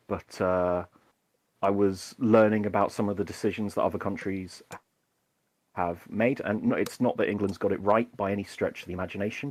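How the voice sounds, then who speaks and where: A man's voice: lowish voice, dry recording. A British man co-hosting a podcast, speaking unhurriedly with many ums and uhs. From United Kingdom. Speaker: podcast co-host. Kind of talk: casual chat.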